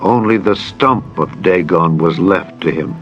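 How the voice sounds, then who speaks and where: Scripture narrator: man with a lowish voice, grave measured delivery, music bed underneath. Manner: solemn, measured, deliberate phrasing. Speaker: Bible narrator. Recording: dull lo-fi audio with music.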